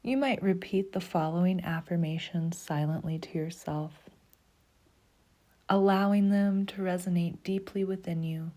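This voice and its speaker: A woman guides a meditation in a low, mellow voice. She speaks slowly and soothingly, often sinking into a breathy half-whisper. Her tone stays dark and warm throughout. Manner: slow, soothing, even pacing.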